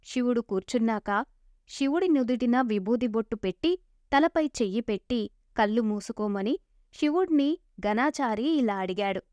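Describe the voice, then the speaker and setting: A woman's voice: on the high side, lively intonation, moderate pace, a little mellow, no room sound. A woman reading scripted narration of a moral folk tale as a storyteller.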